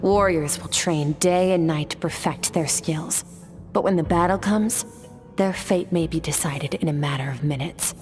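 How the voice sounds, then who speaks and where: A woman's voice: low voice, soft background music, lightly acted. A woman playing a stern composed sword master. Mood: grave. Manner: calm, measured, instructive. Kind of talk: game voice acting.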